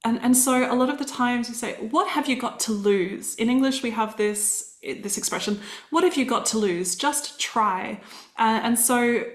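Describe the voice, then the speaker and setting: A woman's voice: a little high, moderate pace. A woman hosting an interview podcast, asking curious, reflective questions and thinking aloud. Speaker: podcast host. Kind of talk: conversational interview. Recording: roomy echo with faint music.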